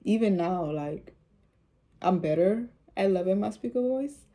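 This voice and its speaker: A woman with a low, soothing voice, speaking casually and with rambling repeats as she talks about faith on her vlog. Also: lively intonation, unhurried, dark tone. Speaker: vlogger.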